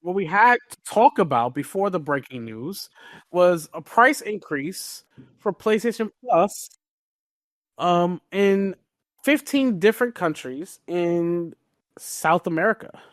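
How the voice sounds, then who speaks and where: A man's voice: high voice, lively intonation, slow pace, fairly bright tone, dry recording, clean studio sound. A man hosting a podcast, talking through gaming news casually and emphatically, repeating words to stress a point. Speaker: podcast host.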